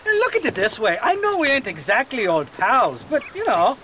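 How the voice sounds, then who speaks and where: An actor playing an excitable, boastful Irish drunkard rogue in a blustering, cajoling way for a video game. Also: male voice, very high voice, moderate pace, slight background noise, heavily acted. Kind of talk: video game cutscene acting.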